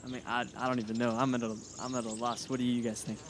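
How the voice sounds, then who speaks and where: A man's voice: mid-range voice, little pitch movement, fast, lightly acted. Man playing a curious teenage boy amid cricket noise. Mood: puzzled wonder. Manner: hesitant, thoughtful, casual. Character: curious, level-headed high school boy explorer. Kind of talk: naturalistic audio drama acting. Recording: noisy outdoor recording with insects. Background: crickets, forest ambience.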